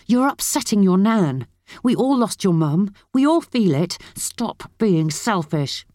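An angry aunt from an audiobook drama, acted out by a female narrator, scolding and sharp. Her voice sits raised in pitch, with a harsh temper, in a close, dry recording. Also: animated, unhurried, no room sound. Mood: furious.

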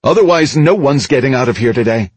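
A man voicing a commanding female officer in an audiobook, giving urgent, clipped and forceful orders. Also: medium pitch, lively intonation, brisk, breathy edge, dry recording, pitched above the performer's own voice. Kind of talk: dramatised audiobook dialogue.

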